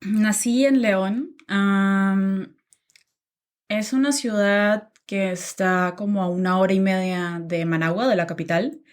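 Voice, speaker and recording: A woman's voice: medium pitch, takes it slowly. A young woman from Nicaragua, a podcast guest chatting casually about her life, with many filler sounds. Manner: relaxed, many "am" and "em" fillers, laughs easily. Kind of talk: casual interview chat.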